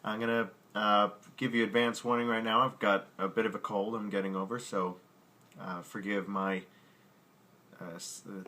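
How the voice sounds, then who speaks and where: A man's voice: lowish voice, flat delivery, moderate pace, pushed and bright. A man hosting a music lesson podcast who keeps stumbling over his words, laughing at himself and muttering curses under his breath. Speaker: music podcast host teaching at the piano. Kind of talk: casual music lesson outtakes. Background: his own piano or guitar playing.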